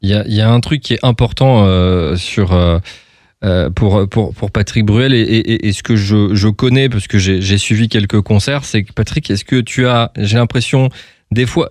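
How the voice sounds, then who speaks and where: A man's voice: fairly low pitch, normal speed, darkish tone, no echo, studio quality. A man working as a radio interviewer, chatting informally in rambling questions with false starts.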